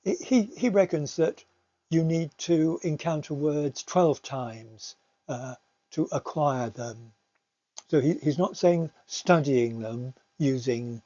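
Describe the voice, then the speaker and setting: A man presenting slides as a teacher, explaining things in a hesitant, halting way with repeated words. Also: mid-range voice, mellow. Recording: slightly dull, lo-fi recording.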